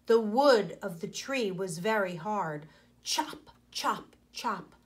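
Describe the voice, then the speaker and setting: A woman in her forties, a teacher reading aloud to children and explaining, with deliberate, pausing phrasing. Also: mid-range voice, lively intonation.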